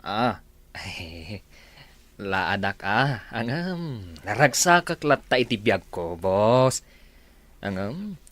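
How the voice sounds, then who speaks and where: A man's voice: lowish voice, sing-song, extremely slow, a bit bright, heavily acted. A man playing a cheeky, contented sidekick who teases his boss in an Ilocano radio comedy drama. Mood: teasing. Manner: teasing, chuckling.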